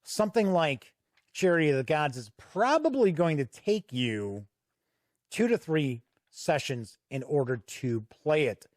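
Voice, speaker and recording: Slow-talking guy with a slightly higher voice doing a review, explains stuff with pauses, dry close sound. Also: very animated, no echo. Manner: measured, explanatory, with deliberate pauses. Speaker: host and reviewer. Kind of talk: unscripted product review walk-through.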